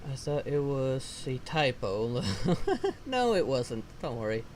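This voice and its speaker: Male streamer, relaxed game commentary, slow, lively pitch. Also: slight background noise. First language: Spanish. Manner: relaxed, slow, chuckling asides. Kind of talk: casual gameplay commentary.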